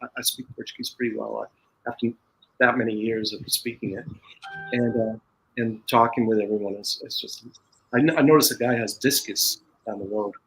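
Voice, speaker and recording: A man's voice: fairly low pitch, fairly even intonation, normal speed, creaky, a bit bright, low-quality recording. A man with an American accent, a podcast guest in casual chat, talking in a rambling way with frequent restarts.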